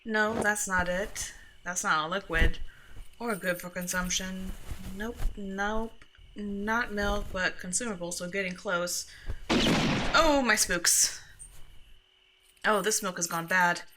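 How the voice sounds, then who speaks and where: A woman's voice: medium pitch, takes it slowly, heavy background noise, lightly acted. A woman playing a grumpy, sarcastic, bossy monster from under the bed in an ASMR roleplay. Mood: grudging, bossy. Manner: exasperated, bossy, mock-threatening. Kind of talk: scripted ASMR roleplay, speaking to the listener.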